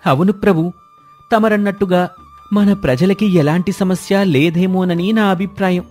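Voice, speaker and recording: A cartoon royal minister, acted out by a man, pitched high and officious. He talks down to people with a haughty, learned air, over music. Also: a little mellow. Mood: deferential, smug. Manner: condescending, officious. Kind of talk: cartoon moral-story voice acting.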